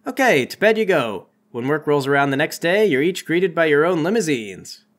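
A man's voice: on the high side, big pitch swings, normal speed. A man narrating a comedic tabletop game as game master.

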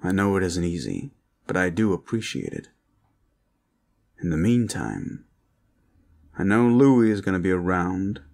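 A man playing a weary, serious and cautious protector in an audio roleplay, speaking in a measured, earnest way. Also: low-pitched, sing-song, vocal fry, darkish tone, heavily acted. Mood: cautious, reassuring.

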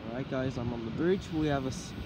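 A man's voice: mid-range voice, fast talker. A man filming and commenting on trains at a railway station, rattling off train numbers and stop lists and correcting himself as he goes. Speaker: train vlogger. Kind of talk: casual train-spotting commentary. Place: outdoors at a railway station and footbridge. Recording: loud outdoor noise on phone mic. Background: passing trains and outdoor traffic noise.

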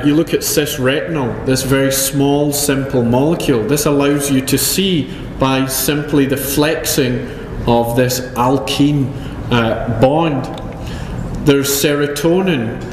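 A man's voice: medium pitch, slight background noise. Scottish man lecturing in an echoey hall. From Scotland. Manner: dry self-deprecating humor, many ums. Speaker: chemistry professor. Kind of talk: conference talk. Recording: roomy hall with long echo.

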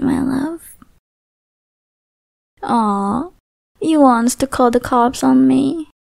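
A young woman voicing an obsessive, possessive lover in an ASMR roleplay, whispering softly with teasing menace. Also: on the high side, no echo, clean studio sound, exaggerated voice. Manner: soft breathy whispering, teasing and threatening.

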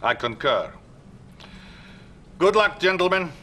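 Ceremonious Soviet premier, bright forceful tone, faint noise. Game cutscene. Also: male voice, high-pitched, very animated, slow, full character voice. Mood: cordial. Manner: courteous, ceremonious. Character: smooth-talking, formal Soviet premier.

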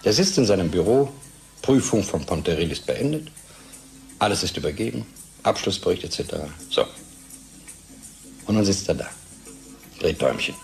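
Animated, exasperated, troubled husband. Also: male voice, low voice, moderate pace, vocal fry, long pauses, soft background music, exaggerated voice, rough recording. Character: troubled, nagging husband worn down by office politics. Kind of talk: German radio play acting.

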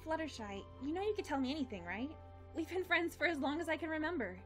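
A woman voicing an excitable young cartoon pony herbalist, a loyal best friend with a teasing, animated manner. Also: sits high, fast. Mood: astonished. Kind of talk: animated fan drama acting. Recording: music under the voice.

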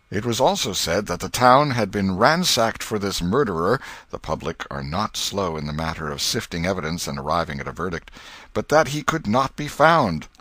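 A man narrating an audiobook in an expressive, literary storytelling style with a dramatic delivery. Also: deep voice, no room sound.

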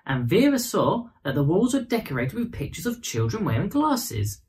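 A man in his thirties reading a children's bedtime story expressively. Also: a little high. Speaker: storybook reader.

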